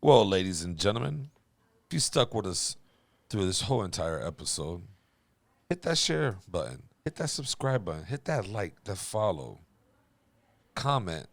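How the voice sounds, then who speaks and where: A man's voice: deep voice, sing-song, normal speed, vocal fry, no room sound. A man hosting a casual podcast, speaking bluntly and swearing freely. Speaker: podcast host. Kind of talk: casual group podcast chat.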